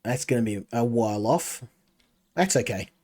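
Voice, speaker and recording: A man's voice: mid-range voice, lively intonation, normal speed, warm tone. A man streaming a game, chatting casually and rambling while talking to his chat. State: coming down with a cold.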